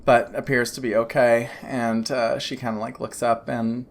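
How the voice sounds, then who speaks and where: A woman's voice: rumbling low, little pitch movement, moderate pace, a little hiss or hum. Chatty woman narrating as game master. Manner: loose and chatty, many fillers, laughs often.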